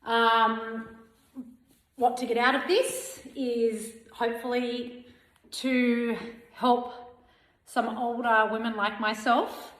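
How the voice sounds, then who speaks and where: A woman in her forties talks slowly and casually to her camera, sharing running tips with plenty of ums along the way. Her voice sits a little high. The recording is roomy, with the camera mic picking up a clear echo. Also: level tone, a bit bright. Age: 40s. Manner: unhurried, chatty, frequent ums. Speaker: running vlogger. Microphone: camera mic without a clip-on mic.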